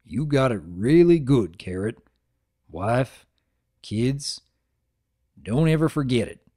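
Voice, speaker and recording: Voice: mid-range voice, sing-song, slow, warm tone. A big, easygoing cartoon farm stallion, acted out by a narrator. He talks in a dry, understated drawl, saying little. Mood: sincere.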